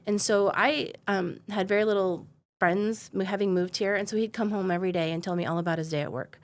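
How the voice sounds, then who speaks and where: A woman's voice: medium pitch, steady tone, fairly quick. A woman in her forties, a company founder, telling her story in an easygoing way with plenty of ums and laughs. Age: 40s. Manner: easygoing, laughs often, many um fillers. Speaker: guest, defense company founder. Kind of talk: conversational interview storytelling.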